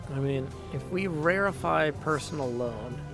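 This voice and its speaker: A man's voice: on the high side, normal speed. A man streaming a game, casually musing and deliberating aloud as he plays. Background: music.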